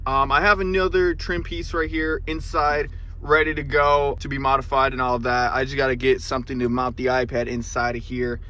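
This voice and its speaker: A man's voice: mid-range voice, flat delivery, a little fast, sharp tone. A man chatting casually to camera as a car audio vlogger, in a rambling, informal way with some stuttering and repeated words, recorded inside a car. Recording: noisy vehicle interior.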